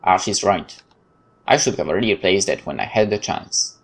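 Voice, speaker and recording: A man's voice: low voice, animated, normal speed, slightly rough. A man with a Polish accent reading visual novel text aloud as a let's play host, dramatically, with occasional stumbles and casual asides. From Poland.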